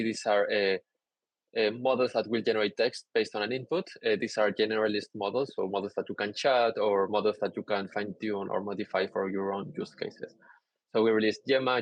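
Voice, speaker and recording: A young man from Peru giving a conference talk over slides on a video call, relaxed and explanatory with many "uh" fillers. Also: fairly low pitch, no room sound, highs cut off. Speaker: conference speaker. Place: indoor room, on a video call.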